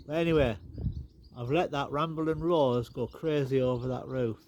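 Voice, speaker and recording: A man's voice: mid-range voice, normal speed, darkish tone, a little hiss or hum. Chatty bunged-up man in a garden. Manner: chatty, rambling, wry asides. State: a bit bunged up. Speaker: garden vlogger. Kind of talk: casual walk-and-talk garden tour. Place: outdoors in a garden. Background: birds and animals.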